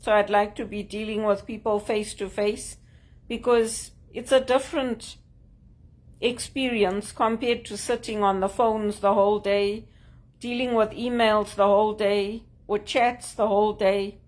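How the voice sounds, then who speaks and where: A woman from Zimbabwe with a Zimbabwean accent, talking to camera in an earnest, measured way about job hunting. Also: medium pitch, fairly even intonation, darkish tone. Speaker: job seeker sharing tips. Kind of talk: casual talk to camera.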